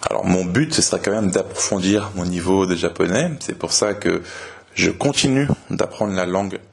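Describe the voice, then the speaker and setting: Podcast host, man in his twenties from France, very deep voice, calm and hesitant, speaks slowly for learners. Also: gravelly. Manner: relaxed, steady, with frequent hesitations. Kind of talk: slow clear podcast talk for learners.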